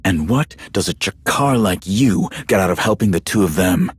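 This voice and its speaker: Blunt, husky hot-headed warrior. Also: male voice, low-pitched, expressive, normal speed, exaggerated voice. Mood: menacing, blunt. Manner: blunt, threatening. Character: tough, hot-headed male warrior hunting a fugitive. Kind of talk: video game voice acting.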